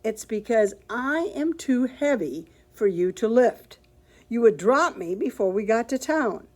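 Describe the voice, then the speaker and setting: A cheerful, innocent storybook housekeeper who takes every word literally, voiced by a woman reading aloud. She speaks up higher and louder than the storyteller's own voice, with a lively, swooping pitch. Her delivery is earnest and emphatic, as if explaining something obvious. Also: a little slow. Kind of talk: picture book read aloud.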